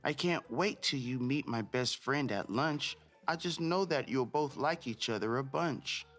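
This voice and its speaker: A man voicing excited, chirpy characters from a children's storybook, such as a little schoolgirl. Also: mid-range voice, unhurried, faint music behind, pitched above the performer's own voice. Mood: eager.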